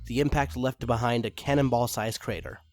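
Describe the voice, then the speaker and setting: A man's voice: mid-range voice, steady tone, normal speed, a little mellow, no echo, soft background music. A man reading fan fiction aloud as a dramatic story narrator.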